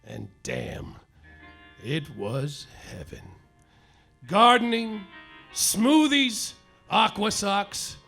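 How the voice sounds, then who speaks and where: A man playing a boozy, hard-boiled male private eye, narrating in a mock-noir deadpan that drags out its punchlines, live on a stage over music. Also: high-pitched, drawn-out words, gravelly, pauses often. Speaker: comedic detective narrator. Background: live music and audience laughter.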